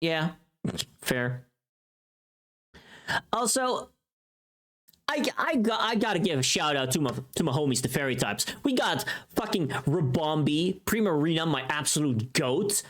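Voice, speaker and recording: A livestream host chatting casually with viewers in an animated, informal, sweary way. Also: medium pitch, a little slow, long pauses.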